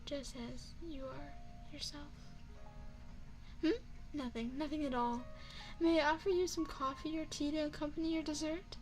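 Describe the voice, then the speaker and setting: A woman's voice: high-pitched, fairly even intonation, moderate pace, pauses often, faint music behind, close to an everyday voice. A woman voicing an elegant, seductive vampire chef in an ASMR roleplay, speaking formally with refined, teasing poise, close to the microphone. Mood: gracious, poised. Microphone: close binaural microphone.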